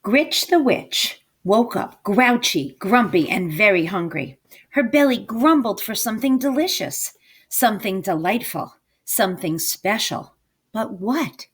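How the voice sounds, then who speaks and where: A woman reads a picture book aloud for children. Her delivery is slow and very animated in pitch, leaning on key words for drama and coaxing listeners with questions. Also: breathy edge. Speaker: storybook reader, teacher. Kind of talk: expressive read-aloud to children.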